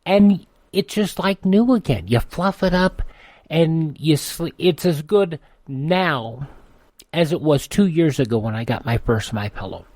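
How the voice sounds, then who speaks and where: A man's voice: on the high side, animated, unhurried, darkish tone, dry recording. A man with an American accent, a radio host reading an advertisement in a folksy, salesy way.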